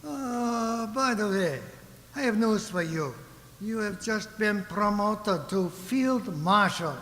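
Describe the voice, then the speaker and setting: An actor playing a pompous, casually ruthless vegetable ruler in a satirical radio play, giving deliberate, clipped orders. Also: male voice, sits high, animated, fairly bright tone, heavily acted. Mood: smugly commanding. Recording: hall-like stage reverb.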